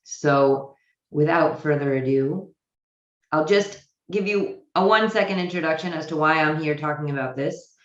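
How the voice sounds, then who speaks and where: An American woman giving a virtual conference talk, thoughtful and hesitant, pausing on fillers and restarting her sentences. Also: sits low, roomy sound, highs cut off. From United States. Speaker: conference speaker. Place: home office in Israel.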